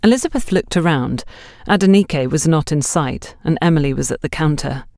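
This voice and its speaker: A woman's voice: sits low, expressive, moderate pace, darkish tone, no room sound. Woman narrating a story, dry close sound. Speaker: audiobook narrator. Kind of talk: scripted mystery story narration.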